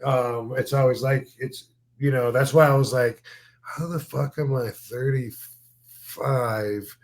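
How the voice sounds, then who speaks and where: A man's voice: medium pitch, fairly even intonation, slow. A man in his fifties talks through a casual podcast chat. He rambles in a laid-back way, trails off into fillers and swears freely. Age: 50s. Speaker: podcast host, former wrestler.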